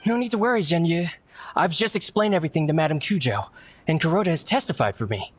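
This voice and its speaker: A man voicing an earnest young book editor in a video game, polite, measured and contrite. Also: fairly high pitch, expressive, slight background noise, close to an everyday voice. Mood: reassuring.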